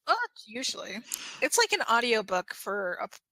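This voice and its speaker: A woman's voice: a little high, sing-song, fairly quick, bright, forceful tone, no echo, poor audio. A woman joking casually with friends in a dramatic, self-mocking way. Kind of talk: casual joking group chat.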